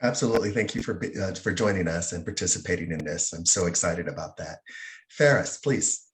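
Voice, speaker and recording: Man, very low voice, panel moderator, introducing guests. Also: animated, brisk.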